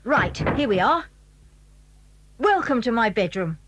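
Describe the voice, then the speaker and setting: A woman's voice: on the high side, sing-song, measured pace, fairly bright tone, a little hiss or hum. A woman playing a clever, secretive British schoolboy in a children's audio drama, eager and well-spoken as he explains things. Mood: brisk, excited. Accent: British English. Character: clever, secretive schoolboy showing friends his house.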